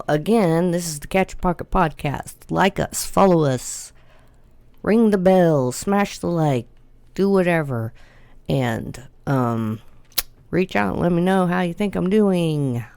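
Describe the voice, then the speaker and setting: Lady podcast host, kinda low voice, talks real slow and relaxed, dry close sound. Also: creaky, clear, resonant tone, no room sound. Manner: slow, relaxed delivery with short encouraging replies. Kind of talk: casual interview.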